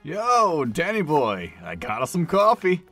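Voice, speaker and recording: A man's voice: on the high side, huge pitch swings, unhurried, a bit bright, soft background music, exaggerated voice. A man playing an easygoing, chatty male friend in an audio drama, slangy and mildly griping. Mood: casual, teasing.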